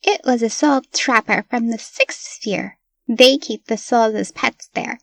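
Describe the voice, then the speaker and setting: Woman playing a high, matter-of-fact eerie child. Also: measured pace, creaky, no echo. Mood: detached, knowing. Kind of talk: comic dub character acting.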